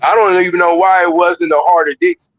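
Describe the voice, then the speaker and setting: A man's voice: sits high, a little fast, dry recording. An American man co-hosting a livestream, giving casual, opinionated commentary in informal slang over a dull, lo-fi connection. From United States. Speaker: livestream co-host.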